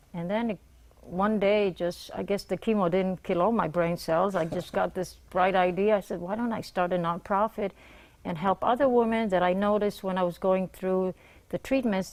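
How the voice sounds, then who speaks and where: A woman's voice: on the low side, darkish tone. A middle-aged woman, a guest on a morning TV show, speaking earnestly in short plain bursts. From Israel. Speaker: guest, nonprofit founder. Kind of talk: morning TV interview. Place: TV studio.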